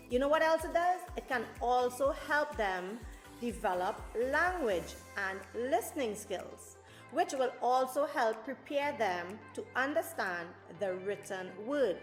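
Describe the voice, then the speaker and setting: A woman hosting a children's storytime, speaking directly and encouragingly to parents and children. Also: on the high side. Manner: encouraging, addresses listeners directly. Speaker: host of a children's storytime show. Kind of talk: presenter talk to parents and children. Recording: roomy echo, faint music.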